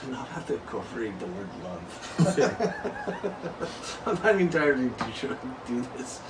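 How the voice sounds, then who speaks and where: A young man co-hosting a board game show, laid-back and laughing, narrating his story slowly. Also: medium pitch, very animated. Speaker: co-host. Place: small room indoors. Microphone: far camera mic. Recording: echoey room, distant mic, background noise.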